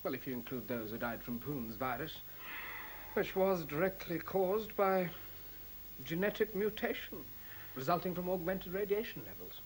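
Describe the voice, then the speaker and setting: An actor playing an older scientist in a radio play, reasoning patiently in a precise, didactic, deliberate way. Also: male voice, a little high, animated, natural voice, low-quality recording. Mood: patient, pointed. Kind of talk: radio drama acting. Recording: hissy old radio play recording.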